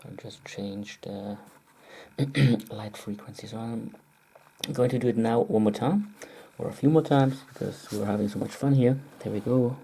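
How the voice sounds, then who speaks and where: A very dark, mellow voice with a husky, creaky grain gives a casual running commentary. The delivery is halting and muttered, broken by frequent throat-clearing. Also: mid-range voice, poor audio. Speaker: video maker demonstrating close-up specimens.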